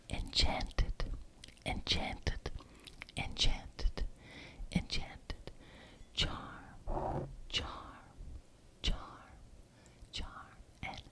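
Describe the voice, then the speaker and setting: Slow whispering witch played by a woman. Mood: incantatory. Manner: slow, deliberate, repeats words for emphasis. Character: gracious, bossy witch chairing a coven homeowners' meeting. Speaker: ASMR roleplay performer. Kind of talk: whispered ASMR roleplay.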